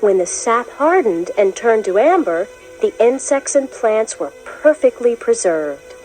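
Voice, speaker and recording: A woman reading a children's science book as scripted narration, in a clear, expressive storytelling manner. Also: on the high side, measured pace, soft background music, rough recording. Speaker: narrator of a children's educational read-along.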